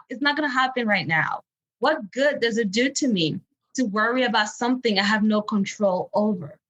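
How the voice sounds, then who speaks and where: Bright-voiced Beninese woman coach. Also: medium pitch. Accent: Beninese French. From Benin, West Africa. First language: French. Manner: earnest, encouraging, light laughs. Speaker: empowerment coach guest. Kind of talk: podcast interview.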